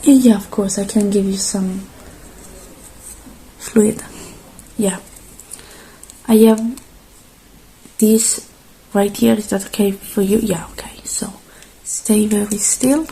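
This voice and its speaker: A woman speaking softly and gently in a halting, reassuring ASMR roleplay, slipping between quiet voice and whisper. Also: medium pitch, fairly even intonation, gravelly, slight background noise, rough recording. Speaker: ASMR performer playing a robot repair technician.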